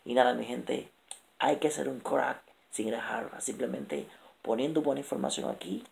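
A man in his thirties talking straight into a close camera in a small room at night, promoting his book with lively, repetitive motivational lists. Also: mid-range voice, moderate pace, gravelly. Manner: energetic, gesturing a lot, repetitive lists. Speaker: author and motivational speaker. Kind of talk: late-night motivational vlog. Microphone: camera held close.

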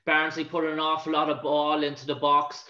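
A man's voice: on the high side, completely flat delivery, moderate pace, sharp tone. A man, a reporter, asking long winding questions at a press conference over a video call. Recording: echoey, dull lo-fi line.

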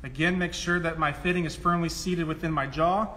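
A man's voice: fairly high pitch, steady tone, brisk. A man in his thirties giving a step-by-step, instructional product demonstration. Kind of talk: live tool demonstration. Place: trade show booth in a large indoor hall. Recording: echoey hall, loud clicks and background noise.

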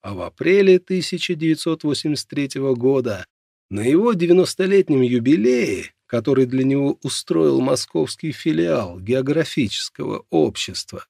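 A man reading a non-fiction audiobook in a husky, gravelly voice, with measured, expressive storytelling delivery. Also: mid-range voice, no room sound, studio quality. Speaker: audiobook narrator.

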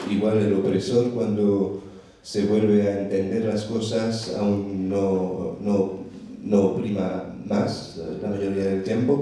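A man's voice: sits low, warm tone. A man in his thirties speaking Spanish as a second language, searching for words with many fillers at an open forum, holding a handheld mic. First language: not Spanish. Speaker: audience member. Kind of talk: hesitant open-forum remarks. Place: dark theatre auditorium, seated in audience. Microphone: handheld microphone. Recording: echoey hall, voice from far off.

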